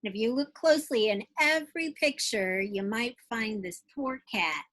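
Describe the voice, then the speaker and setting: A woman's voice: a little high, moderate pace, a bit bright. A woman teacher reading a picture book aloud to young children, stretching out phrases expressively and putting questions straight to her listeners.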